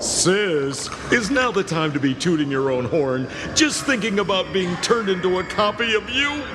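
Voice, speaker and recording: A sassy young male game character with a high, swooping voice. He talks in slang with exaggerated exasperation. The sound has long reverb. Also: expressive, moderate pace, noisy background. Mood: exasperated. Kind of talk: video game character acting. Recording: long reverb, music behind.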